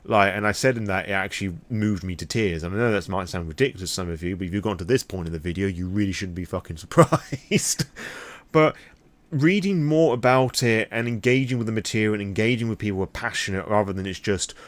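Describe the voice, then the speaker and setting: A man's voice: lowish voice, quick pace, no room sound. A British man rambling candidly through an impromptu vlog, opinionated and freely swearing. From Britain. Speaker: vlogger.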